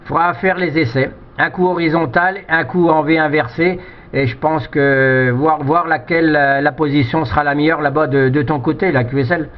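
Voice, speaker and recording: A man's voice: a little high, steady tone, normal speed. A man chatting casually as an amateur radio operator, giving technical advice and often repeating words as he hesitates. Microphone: two-way radio microphone. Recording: dull, lo-fi radio transmission.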